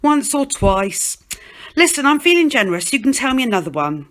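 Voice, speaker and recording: A bitter, downbeat man who hustles at a fairground, acted out by a woman from a storybook. He sighs and needles, and the pitch moves around a lot. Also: very animated, moderate pace. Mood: weary.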